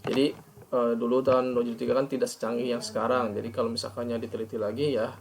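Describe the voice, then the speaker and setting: Creaky-voiced man presenting. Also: medium pitch, level tone, a little hiss or hum. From Indonesia. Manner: formal, reading out points then explaining haltingly. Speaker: postgraduate student presenter. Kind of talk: academic presentation of an article review.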